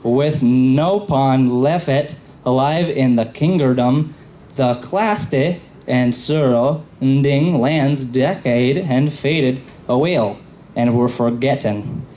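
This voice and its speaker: A man reading a story aloud haltingly as a panelist at a live event. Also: medium pitch, mellow. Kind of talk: halting live read-aloud of comic fan fiction. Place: convention panel room. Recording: faint room noise.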